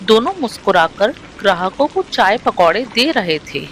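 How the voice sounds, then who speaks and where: A woman's voice: medium pitch, sing-song, normal speed. A woman reading scripted narration for a moral storybook tale. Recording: rain, thunder and vehicle noise behind.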